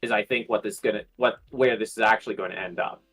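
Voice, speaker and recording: A man's voice: fairly low pitch, fairly even intonation, fast, fairly bright tone, faint music behind. A man in a casual speculative discussion on a livestream panel, repeating phrases as he reasons things through.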